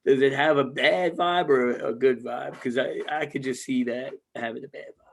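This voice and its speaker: Hoarse man with a gravelly voice. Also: medium pitch, fairly even intonation, normal speed. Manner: loose, joking, swears freely. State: hoarse, losing his voice. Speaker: podcast co-host. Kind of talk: casual chat.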